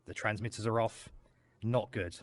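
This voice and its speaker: A man's voice: lowish voice, steady tone, normal speed, no echo. A middle-aged man telling an anecdote in short, dramatic phrases. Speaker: radio control room staffer. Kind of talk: storytelling, recorded reminiscence.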